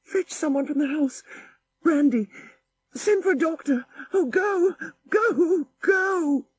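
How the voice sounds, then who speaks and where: A man's voice: very high-pitched, expressive, takes it slowly, pitched above the performer's own voice, exaggerated voice. A man voicing a distraught, commanding young Victorian woman in an audiobook story. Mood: desperate pleading.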